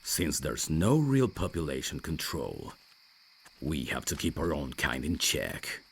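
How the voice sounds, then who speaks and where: A man playing a reserved, rational vampire messenger in an audio roleplay, dry and guarded, with a gravelly, deep voice. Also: big pitch swings, unhurried, heavily acted. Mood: reserved, matter-of-fact. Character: reserved, rational male vampire messenger, over fifty years undead.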